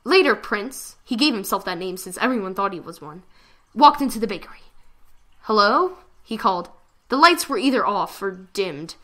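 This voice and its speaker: A woman giving a dramatic reading of a fan fiction story, with expressive delivery. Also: medium pitch, brisk.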